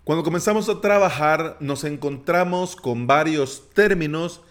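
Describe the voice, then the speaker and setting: A man's voice: fairly high pitch, unhurried, faint music behind. A man hosting a solo tutorial podcast, explaining terms in a chatty, playful way with jokey asides. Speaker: podcast host.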